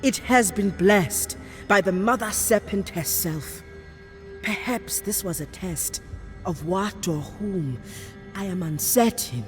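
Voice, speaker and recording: An actress playing a commanding, devout warrior priestess in a video game, solemn, direct and deliberate. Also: female voice, mid-range voice, faint music behind, natural voice. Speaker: voice actor. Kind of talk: dramatic video game cutscene acting.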